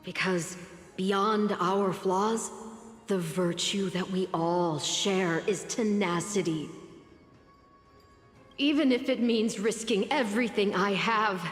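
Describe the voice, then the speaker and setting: A woman playing a humble mortal heroine in a video game, speaking formally and haltingly as she pleads for her life. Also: medium pitch, close to an everyday voice. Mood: pleading. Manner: halting, earnest, formal speech. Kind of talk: dramatic video game voice acting. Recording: long echoing hall reverb, music behind. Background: music.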